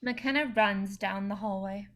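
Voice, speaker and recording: A woman's voice: mid-range voice, measured pace, fairly bright tone. A woman reading out stage directions as scripted narration for a play.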